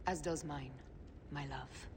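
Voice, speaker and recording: An actress playing a vengeful, loving woman avenger of ancient Egypt in a video game cutscene, speaking with intimate, deliberate intensity. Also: low voice. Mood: tender. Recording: long echoing reverb, clear background noise.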